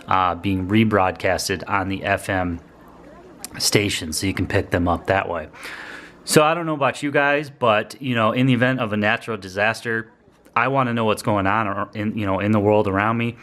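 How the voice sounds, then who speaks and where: A man's voice: fairly low pitch, a bit bright. A man giving a casual, laid-back product demonstration, explaining features step by step. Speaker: product reviewer, host.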